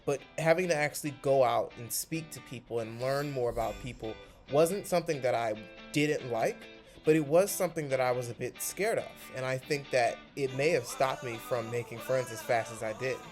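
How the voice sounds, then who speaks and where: A young man from Cleveland, a university freshman, earnestly reflecting on a class project with bursts of quick speech. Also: medium pitch, faint music behind. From Cleveland, Ohio, United States. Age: young adult. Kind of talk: reflective video monologue.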